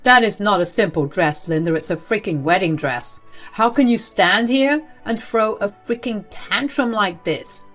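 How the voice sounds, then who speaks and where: A woman's voice: mid-range voice, animated, unhurried, a bit bright, soft background music. A woman voicing a stern, protective middle-aged husband who speaks firmly and emphatically, out of patience. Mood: angry, fed up. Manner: firm, emphatic.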